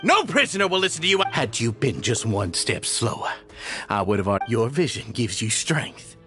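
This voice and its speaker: A man's voice: mid-range voice, sing-song, moderate pace, lightly acted. An actor playing a haughty, menacing male villain in a video game, sneering and theatrical. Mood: scornful, threatening. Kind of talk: dramatic video game voice acting. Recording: game music under the voice.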